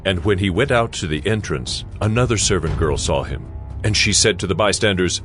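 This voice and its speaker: A man's voice: low voice, expressive, fairly quick. A man narrating scripture solemnly as a scripted dramatization. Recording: loud dramatic music underneath.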